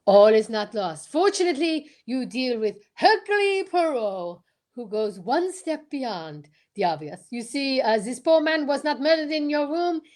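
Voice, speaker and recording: A woman playing a pompous, courteous male detective, formal and deliberate, in old-time radio mystery style. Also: high-pitched, big pitch swings, a little mellow. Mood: reassuring, self-assured. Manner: formal, deliberate, theatrical questioning.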